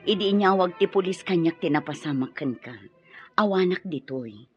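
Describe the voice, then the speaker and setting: A woman's voice: lowish voice, lively intonation, normal speed, darkish tone, lo-fi sound, soft background music, close to an everyday voice. An actress playing a supportive, composed woman in authority in an Ilocano radio drama.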